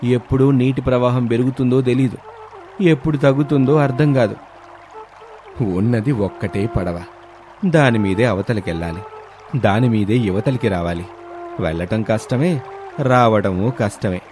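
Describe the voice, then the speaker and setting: A man's voice: mid-range voice, moderate pace, long pauses, music in the background, close to an everyday voice. A man playing a brooding, evasive younger son of a rich villager in a storybook tale.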